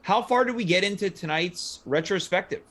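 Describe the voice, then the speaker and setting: Higher-pitched man hosting a casual livestream. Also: fairly quick, a bit bright. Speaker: host of a livestream show. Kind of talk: casual panel show hosting.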